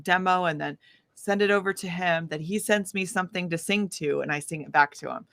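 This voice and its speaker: A woman in her thirties with a slightly low voice, recorded close and dry. She talks as an enthusiastic interview guest in a casual, chatty way, gushing along with plenty of filler words. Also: a little fast, creaky, dry recording. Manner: animated, gushing, with frequent "like" and "you know". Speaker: interview guest, independent singer-songwriter.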